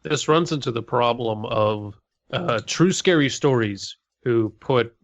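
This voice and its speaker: A man with an average-pitched voice gives his opinions as a podcast co-host. He is blunt and profane in an informal way. The recording is a little dull and lo-fi. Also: expressive, measured pace. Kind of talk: casual opinionated discussion. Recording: slightly dull, lo-fi microphone sound.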